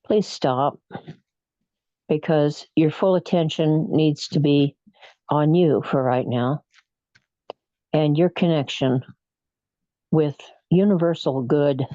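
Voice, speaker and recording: A person leading a guided meditation in a soothing, unhurried, hypnotic manner with frequent pauses. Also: medium pitch, mellow, no room sound, muffled recording. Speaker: guided imagery meditation leader.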